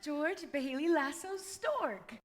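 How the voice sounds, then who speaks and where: An actress playing a tender, devoted young wife in a 1940s-style radio play. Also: female voice, high voice, expressive, takes it slowly. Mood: tender, teasing. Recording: live stage taping in echoey hall.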